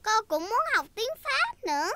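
A woman's voice: very high voice, sing-song, background noise. A woman voicing a cheerful, curious little girl pig in a cartoon, childlike. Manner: childlike, slow and eager.

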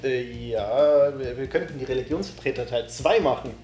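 A man's voice: mid-range voice, normal speed, darkish tone, soft background music. A young man co-hosting a comedy show in casual banter. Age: young adult. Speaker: co-host of a YouTube comedy show. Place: indoor room, on a couch. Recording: echoey room.

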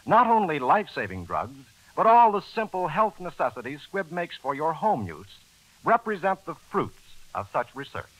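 A man's voice: fairly high pitch, sing-song, normal speed, fairly bright tone, poor audio. An American man announcing from a script in the formal style of 1940s radio. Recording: old 1940s radio broadcast, faint music.

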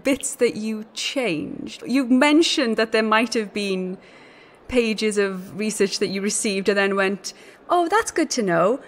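A woman's voice: mid-range voice, expressive, slow. A woman hosting a casual podcast interview in an effusive, giggly way. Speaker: podcast host.

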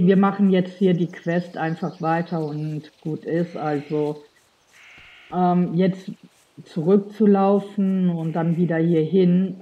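Slow-talking woman with a slight cold. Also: lowish voice, highs cut off. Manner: rambling, chatty, many fillers; addresses viewers directly. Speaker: let's play streamer. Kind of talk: casual gameplay commentary.

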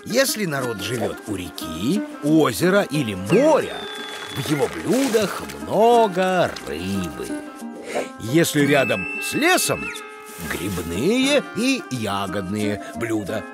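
A man voicing a kindly cartoon animal who explains things in an expressive, educational storytelling manner. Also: medium pitch, takes it slowly, over music. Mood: explaining.

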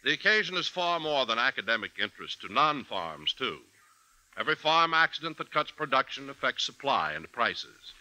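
A male radio announcer delivers a 1950s public service message with a very bright, forceful tone. His pitch moves in lively swings, and his delivery is formal and emphatic. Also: moderate pace, no echo, poor audio.

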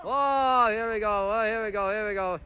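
A man filming a soccer match from the sideline in an indoor dome, commenting excitedly and shouting at the referee and his team. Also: high voice, moderate pace, smooth. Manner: animated, shouting at the referee and players. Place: indoor sports dome, large. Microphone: phone camera held by the speaker.

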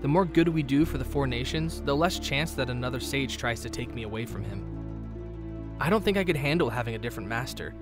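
A man playing a kind, earnest teenage boy in an animated fan drama, with a gentle, playful, conversational manner. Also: mid-range voice, a little fast, over music, close to an everyday voice. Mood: earnest, reassuring. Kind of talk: fan visual novel voice acting.

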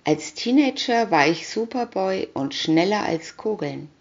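A woman reading a scripted children's comic story aloud, deliberately, with pauses between captions. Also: mid-range voice, expressive. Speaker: reader of picture-story booklets. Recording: dull lo-fi recording.

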